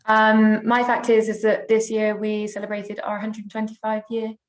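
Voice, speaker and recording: A woman's voice: medium pitch, monotone, normal speed, slight background noise. A woman giving short, casual answers as a guest on a panel.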